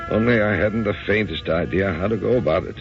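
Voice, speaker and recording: Very deep, creaky older businessman. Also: fairly quick, music in the background. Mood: reflective narration. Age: 60s. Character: staid, matter-of-fact widowed businessman around sixty. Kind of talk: old-time radio drama acting. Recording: muffled old radio broadcast recording.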